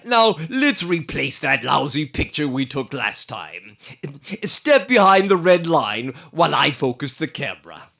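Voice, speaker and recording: A man's voice: fairly high pitch, big pitch swings, a little slow, vocal fry, fairly bright tone, heavily acted. Man playing a wisecracking, swooping-voiced license examiner. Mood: officious. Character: dry, wisecracking male DMV license examiner. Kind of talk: old-time radio comedy acting.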